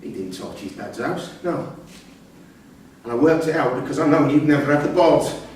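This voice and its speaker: A man's voice: fairly high pitch, animated, normal speed, darkish tone, close to an everyday voice. An actor playing a menacing, coarse-mouthed prisoner and grieving father in a staged reading. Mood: accusing. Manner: coarse, sweary, accusing. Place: theatre room at a live staged reading. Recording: distant, very echoey hall, faint noise.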